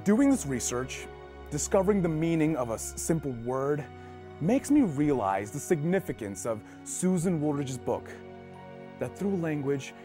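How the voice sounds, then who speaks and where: Lively man in a staged recitation. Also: on the high side, measured pace, faint music behind. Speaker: readers theater performer. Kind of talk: scripted recitation.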